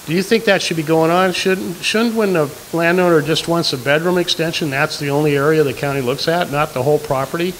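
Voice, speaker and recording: A man's voice: a little high, a little fast, hardly any pauses, fairly bright tone. An older man stands at an audience mic in a community hall and presses a candidate with his question. He is insistent and keeps returning to his point. Faint hiss runs under the recording. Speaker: audience member asking a question. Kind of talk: questioning at a public forum.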